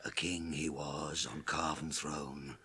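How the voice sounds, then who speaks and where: An actor playing a proud, solemn dwarf in a radio drama, grave and declamatory, with a gravelly, husky voice. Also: male voice, a bass voice, flat delivery, slow pace, bright, forceful tone, a little hiss or hum, heavily acted. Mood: solemn, mournful chanting. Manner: grave, declamatory, chanting old verse. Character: proud, solemn dwarf mourning his people's lost kingdom. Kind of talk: 1980s radio drama acting.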